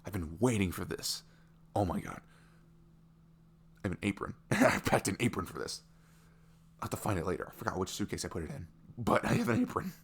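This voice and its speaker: A man's voice: deep voice, sing-song, fairly quick, long pauses, slightly rough, exaggerated voice. A man playing an adorable, lovestruck young boyfriend in an ASMR romance roleplay, giggly and gushing as he stumbles over words. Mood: excited, giddy.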